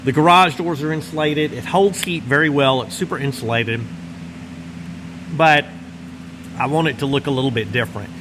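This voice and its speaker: A middle-aged man with a Northwest Louisiana Southern drawl, the channel host, chatting casually and meandering to the camera while he smokes in his garage workshop. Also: medium pitch. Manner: easygoing, meandering, folksy drawl, pauses while smoking a cigar. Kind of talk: casual rambling chat to camera. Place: large insulated home garage workshop, indoors. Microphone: camera close in front, seated. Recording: steady heater hum behind voice. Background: heater running.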